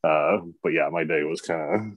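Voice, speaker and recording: A man's voice: very deep voice, moderate pace. A man in his forties, a tattoo artist guest, talking in a rambling way with many fillers over a video call. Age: 40s. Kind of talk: casual interview chat. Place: indoor room. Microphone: webcam on video call.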